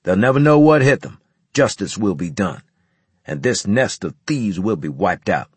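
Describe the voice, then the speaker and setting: A man voicing a booming, bombastic male military veteran in an audiobook. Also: animated, normal speed, slightly rough, dry recording. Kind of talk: audiobook character dialogue.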